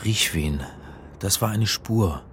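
Radio crime lead: academic on the run, low-pitched, uneasy. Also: male voice, takes it slowly, faint music behind. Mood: tense, reflective. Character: uneasy religious studies lecturer on the run, crime narrator. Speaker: actor and narrator. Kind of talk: radio crime drama, first-person narration and dialogue.

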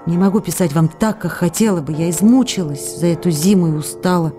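A young actress pours out teasing, pleading letters to the writer she loves, as an actress voices her in a radio play. Her tone is mellow and warm, coaxing one moment and reproachful the next, and faint music plays underneath. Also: on the low side, brisk, natural voice. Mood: wistful. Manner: playful, coaxing, then reproachful. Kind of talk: radio drama letter reading.

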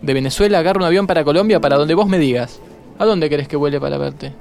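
An actor with a Buenos Aires accent playing an ardent, eager romantic suitor in a radio drama. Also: male voice, on the high side, fast, creaky, darkish tone. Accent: Argentine, Buenos Aires.

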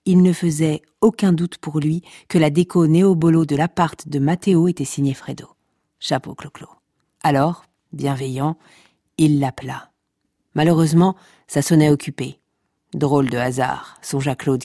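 An actor playing a mock-dramatic, deadpan narrator of a comic podcast fiction, speaking conspiratorially. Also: male voice, on the high side, no room sound, clean studio sound. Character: mock-solemn tongue-in-cheek noir narrator.

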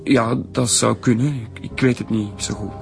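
Man voicing a nervous jobless suspect, Flemish accent, gravelly, stammering, radio drama, music underneath. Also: medium pitch, steady tone, moderate pace, close to an everyday voice.

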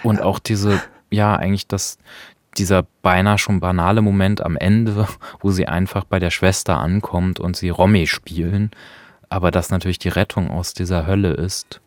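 A man's voice: low voice, steady tone, normal speed, no room sound, clean studio sound. A man co-hosting a radio discussion of literature in a reflective way. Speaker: radio co-host. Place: radio studio.

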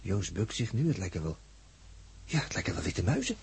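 A man playing an uneasy astronaut with a husky voice, muttering hesitantly in a 1960s radio drama. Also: low-pitched, big pitch swings, fairly quick, darkish tone, full character voice. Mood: intent, puzzled. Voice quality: husky, raspy. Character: uneasy male astronaut watching a crewmate through a crystal.

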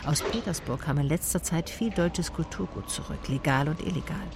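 Radio crime drama: female private eye, low register, dry, watchful, matter-of-fact, over noisy background ambience. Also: moderate pace. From Swabia, Germany. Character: wary Swabian female private detective, dryly observant. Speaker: first-person narrator and lead character. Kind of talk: radio drama narration and dialogue.

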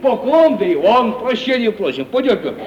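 An actor playing a crafty, stubborn older provincial merchant, folksy and mock-deferential, in a stage-style radio comedy. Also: male voice, high voice, sing-song, moderate pace, far from the mic. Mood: stubborn haggling. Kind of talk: 1950s Russian radio theatre comedy acting. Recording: muffled, echoey vintage 1950 radio recording, faint noise.

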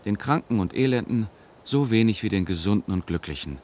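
An actor in a German radio play playing a stern, sharp-tongued religious ascetic who argues in a cutting, dogmatic way. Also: male voice, lowish voice, expressive, fairly quick, slight background noise, close to an everyday voice. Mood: coolly argumentative.

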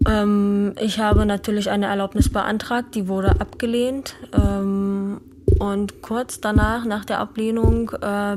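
Clear background noise surrounds a woman telling a personal account. Her voice is mid-pitched with very little melody, and her delivery is measured, a little hesitant. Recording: clearly noisy, with clicks.

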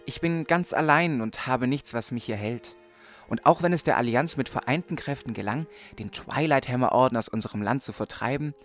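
A man's voice: mid-range voice, close to an everyday voice. A man playing an earnest but cheeky sixteen-year-old aspiring warrior in a comedic fantasy audio play. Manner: earnest storytelling, slangy when challenged.

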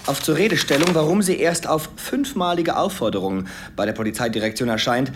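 Schauspieler als amtlicher Polizeibeamter, leichtes Rauschen. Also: male voice, a little high, a little fast, slight background noise, close to an everyday voice. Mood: dry, official. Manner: formal, bureaucratic. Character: officious male police clerk reading a report. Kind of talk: radio play, read-out official record.

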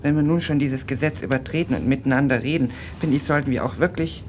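A naive teenage boy from the provinces, played by a man, in a radio drama. He rambles earnestly, apologises a lot and chats on at a middling pitch. The recording has clear noise and rumble behind him. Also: fast, close to an everyday voice. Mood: earnest, chatty. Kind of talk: German radio play dialogue.

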